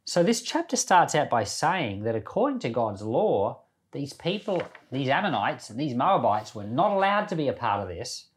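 A man's voice: mid-range voice, very animated, moderate pace. A man teaching the Bible informally, speaking conversationally and putting questions to his listeners as he explains. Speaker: Bible teacher and podcast host.

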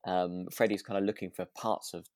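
A man's voice: sits low, little pitch movement, normal speed, a little mellow, no room sound, studio quality. A man co-hosting a podcast, chatting casually and agreeably about a radio drama, thinking aloud in loose sentences. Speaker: podcast co-host.

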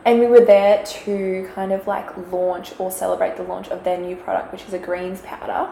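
A young woman co-hosting a casual chat, her voice croaky as she talks chattily with lots of fillers and giggles. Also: medium pitch, level tone, normal speed, dark tone. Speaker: podcast co-host. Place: quiet room, shared mic. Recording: echoey room, faint background noise.

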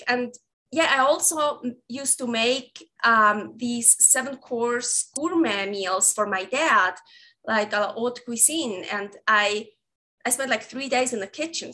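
Swiss woman, 30s, high bright voice, rambling interview guest who keeps restarting sentences. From Switzerland. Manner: rambling, self-correcting, laughs often. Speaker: guest, recipe developer. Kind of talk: casual interview conversation.

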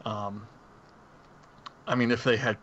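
A man's voice: on the low side, level tone, takes it slowly, a bit bright. A man co-hosting a podcast in casual discussion, heard through dull, lo-fi audio with faint noise. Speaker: podcast co-host. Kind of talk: casual movie-discussion chat.

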